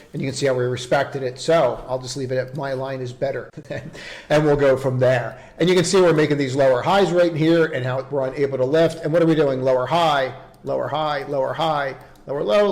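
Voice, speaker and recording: A man's voice: medium pitch, darkish tone. A man hosting an unscripted market commentary, informal and instructive, repeating phrases for emphasis. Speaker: stock trading video host and coach.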